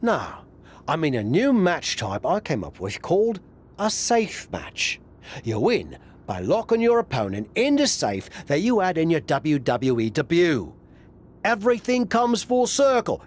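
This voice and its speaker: An actor playing a playful, scheming wrestling buddy in a video game cutscene, with a jokey, casual manner. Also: male voice, on the high side, wildly swooping pitch, a little slow, exaggerated voice. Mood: playful pitching.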